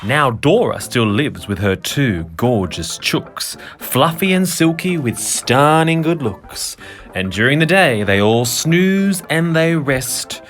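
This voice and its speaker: A man reading a children's picture book in verse, with expressive, dramatic, rhythmic narration. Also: mid-range voice, slow.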